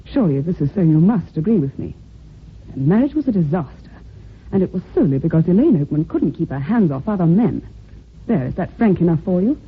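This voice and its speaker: An actress playing a prim, defensive, devoted secretary who speaks formally and emphatically in an old-time radio drama. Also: female voice, fairly low pitch, very animated, a little fast, slightly rough, full character voice. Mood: bitter, frank. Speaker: actor in a radio mystery. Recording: thin, phone-like old radio recording.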